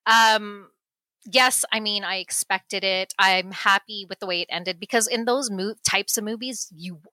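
A woman's voice: mid-range voice, fairly even intonation, unhurried, sharp tone, no room sound. A woman and mother chatting casually about a teen movie, with frequent restarts and laughter. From United States. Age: adult parent. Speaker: movie review guest.

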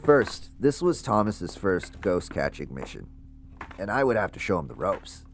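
A man's voice: sits low, big pitch swings, normal speed, gravelly, a little hiss or hum, full character voice. An actor playing a ghost hunter who narrates his investigation in a scripted first-person audio drama. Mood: uneasy.

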